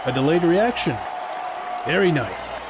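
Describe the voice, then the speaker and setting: A man's voice: fairly high pitch, big pitch swings, a loud crowd, heavy background noise. A man playing a composed, courteous, confident martial artist mentor in an audio drama. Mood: calm, approving. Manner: measured, approving.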